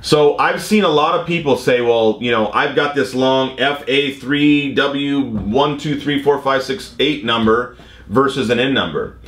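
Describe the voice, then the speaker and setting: A man's voice: mid-range voice, a little slow, fairly bright tone. An American man in his fifties giving an informal, conversational explanation as a vlog host, repeating his key points. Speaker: vlog host, commercial drone pilot. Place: indoor workshop or studio room. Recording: roomy, echoing indoor sound.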